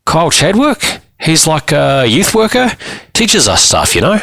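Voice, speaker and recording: A man's voice: a little high, huge pitch swings, unhurried, creaky, a bit bright, no echo, voice pushed up, exaggerated voice. A man voicing a sullen, defensive teenage boy in trouble, slangy and grudging, within a dramatised story. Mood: defiant, self-justifying. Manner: grudging, slangy, self-justifying. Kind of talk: dramatised short story reading.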